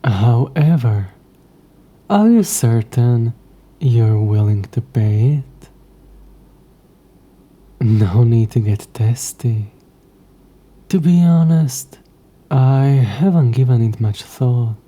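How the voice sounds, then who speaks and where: Guy doing an old smug demon for an audio roleplay, lowish voice thats super mellow and breathy, coy and taunting, old-timey wording. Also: animated. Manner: slow, taunting, haughty old-fashioned phrasing. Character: centuries-old possessive male demon trapped in a necklace, coy, smug. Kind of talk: scripted audio roleplay addressed to the listener.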